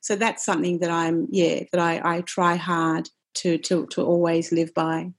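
A middle-aged woman, a wellness coach, talking in a casual interview, forceful and passionate, with many quick restarts. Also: lowish voice, level tone. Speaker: nutritionist and wellness coach, guest.

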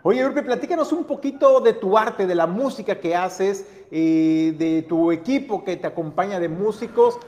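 A man's voice: high-pitched, normal speed, few pauses, darkish tone, long reverb tail. A man hosting a news program, interviewing a guest in a friendly, chatty way. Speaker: news show host.

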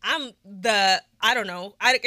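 A woman's voice: mid-range voice, takes it slowly, pushed and bright, dry recording, clean studio sound. A young woman from Texas hosting a casual podcast chat, talking frankly with lots of fillers. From Texas, USA. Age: young adult. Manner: chatty and frank, with frequent fillers and laughs. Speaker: podcast host.

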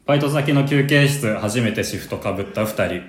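A man's voice: fairly low pitch, moderate pace, a little hiss or hum. A man on a radio programme giving brief casual responses and plainly reading stage directions. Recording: roomy, echoey room sound.

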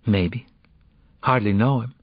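A man's voice: medium pitch, takes it slowly, no room sound, dull audio. A man voicing an evasive, lovestruck teenage girl in an audiobook, slangy, reluctant and defensive as she confesses.

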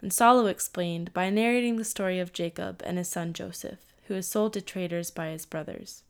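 A woman narrating a scripted documentary piece in a measured, even way. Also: fairly low pitch, smooth. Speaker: narrator, host.

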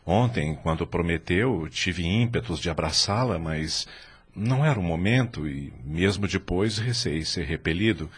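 Deep, breathy, remorseful husband. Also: male voice, expressive, a little slow, full character voice. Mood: anxious, tender. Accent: Brazilian. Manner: contrite, hesitant, heartfelt. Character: remorseful middle-aged husband and father, humble, anxious. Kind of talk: radio drama acting.

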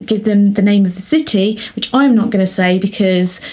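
A young woman with a middle-range voice co-hosts a relaxed book podcast. She recaps the story with hesitant fillers and slips in dry teasing. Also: medium pitch, moderate pace. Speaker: podcast co-host. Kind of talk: casual book podcast chat.

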